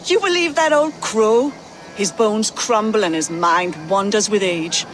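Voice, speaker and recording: An actress playing a proud, defiant Viking shield-maiden in a video game, speaking in a deliberate, indignant way. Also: female voice, medium pitch, a little hiss or hum, close to an everyday voice. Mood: scornful.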